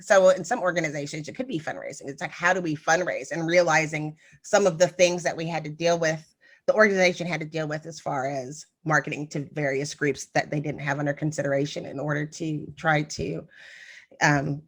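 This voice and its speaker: A woman's voice: low voice, fairly quick, a bit bright. A woman co-hosting a podcast, chatting casually and drifting into stories with frequent ums. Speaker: podcast co-host. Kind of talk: casual conversation.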